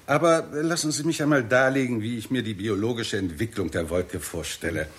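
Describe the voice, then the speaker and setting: An actor playing a confident scientist who lectures deliberately and emphatically in a 1960s German radio drama. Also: male voice, mid-range voice, fairly bright tone, close to an everyday voice. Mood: explanatory, thoughtful. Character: male scientist, confident, explanatory, theorising.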